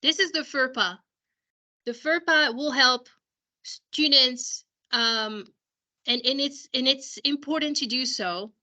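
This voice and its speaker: A woman school counselor who is losing her voice, explaining a software walkthrough to parents in an explanatory way, often restarting her sentences, over a video call. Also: sits high, slow.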